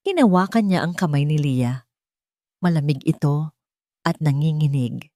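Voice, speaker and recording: Casting: female audiobook-style narrator for romantic drama, lowish register, dry close recording. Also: lively intonation, unhurried, dark tone, dry recording.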